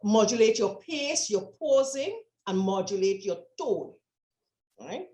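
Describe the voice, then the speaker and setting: A woman's voice: a little high, lively intonation, slow pace, a little mellow, echoey room. A woman with a Trinidadian accent, a communication trainer giving encouraging, instructive coaching in an online workshop over a video call. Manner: encouraging, instructive, stretches words.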